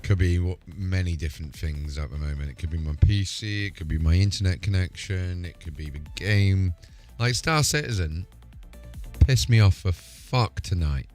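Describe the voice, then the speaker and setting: Deep-voiced man streaming casually. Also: normal speed, a little mellow, soft background music. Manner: informal, matey, sweary. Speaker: game streamer. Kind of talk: casual live stream chat, troubleshooting software.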